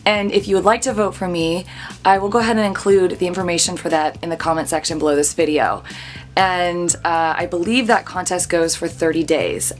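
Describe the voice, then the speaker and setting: A woman vlogger chatting casually to her viewers in an upbeat, gushing manner. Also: fairly low pitch. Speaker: vlog host and aspiring singer. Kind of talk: casual chat to camera.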